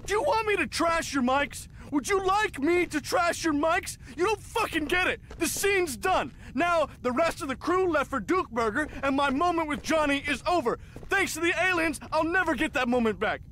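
High-pitched raging showbiz hothead. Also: male voice, a little hiss or hum, full character voice. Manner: ranting, repeating himself, shouting. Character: raging, foul-mouthed TV film crew diva man. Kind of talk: video game voice acting.

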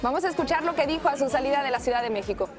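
A woman from Mexico hosting a TV news show, chatting briskly with a co-host. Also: high voice, animated, music in the background, a crowd in the background. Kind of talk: TV news chat. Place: TV studio.

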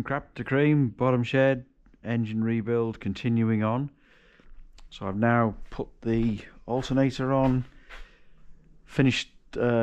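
A man casually talking through an engine rebuild as he works, thinking aloud and correcting himself. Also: medium pitch. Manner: thinking aloud while working, with restarts and self-corrections. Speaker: home mechanic vlogger. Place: large cluttered garage workshop, indoors. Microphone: chest-mounted action camera.

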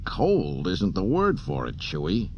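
A man's voice: low-pitched, huge pitch swings, measured pace, heavily acted. A man voicing a cocky, flippant young space smuggler in an audiobook. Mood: wry. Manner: flippant, offhand, hesitant ums. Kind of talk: audiobook character acting. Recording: dull, hissy cassette transfer.

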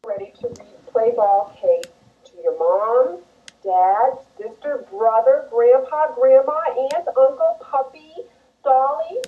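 Teacher-type woman reading aloud for kids, slightly high, heard over a tinny TV speaker. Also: slow pace, slightly rough. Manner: sing-song, cheering storybook delivery. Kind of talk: reading a picture book aloud to children. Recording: tinny TV playback with music.

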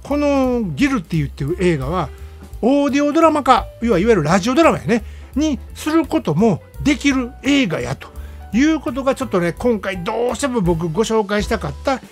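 Lively Kansai man hosting a radio show. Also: extremely high pitch, fairly quick, faint music behind. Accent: Kansai Japanese. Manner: chatty, emphatic, peppering phrases with "ne". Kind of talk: casual film review monologue.

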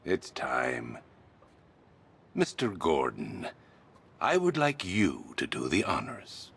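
A man voicing a cold, theatrical supervillain in a video game, gloating with slow, ominous deliberation and a gravelly rasp. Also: rumbling low, huge pitch swings, a bit bright, heavily acted. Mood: cold, commanding. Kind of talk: dramatic video game villain acting.

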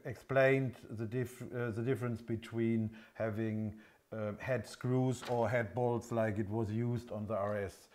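Slow, halting man with European accent. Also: fairly low pitch, steady tone, darkish tone. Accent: continental European. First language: not English. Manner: halting, hesitant delivery. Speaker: engine workshop presenter. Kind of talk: unscripted product explanation. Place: indoor engine workshop showroom.

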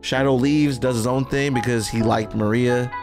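A man's voice: mid-range voice, steady tone, moderate pace, music in the background. A young man in casual, slangy movie reaction chat, jovial and swearing freely, close on a podcast mic. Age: young adult. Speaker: reaction show host.

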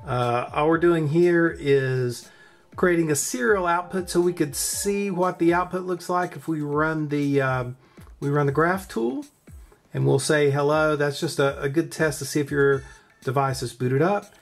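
A man's voice: fairly high pitch, measured pace, faint music behind. A man hosting a hands-on electronics tutorial, talking informally and thinking aloud as he works. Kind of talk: casual narrated build demo. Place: indoor electronics workbench.

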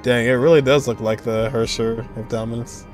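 A young man casually commenting on a video game as he watches, relaxed and chatty. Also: medium pitch, a little fast. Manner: relaxed, chatty, reacting aloud. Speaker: gaming reaction streamer. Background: game music.

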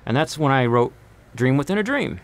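A man's voice: mid-range voice, big pitch swings, a little fast, a little hiss or hum. The guy in his bedroom studio late in a small livestream, leaning by the mic and rambling casually to a few online friends. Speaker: live streamer and singer-songwriter. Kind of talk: casual live stream chat. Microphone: large condenser mic on boom arm, close.

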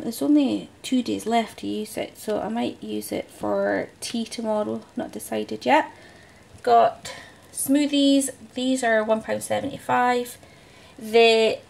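A woman, chatting casually through a grocery haul voice-over, relaxed and full of ums. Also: a little high, vocal fry. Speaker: vlogger.

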